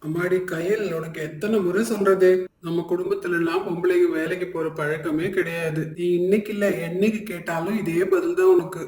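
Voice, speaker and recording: An actor playing a stern, worried family shopkeeper in a cartoon moral story, with a firm, earnest manner. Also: male voice, high voice, steady tone, brisk, darkish tone, echoey room, lightly acted. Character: men of a traditional family shop, stern, worried.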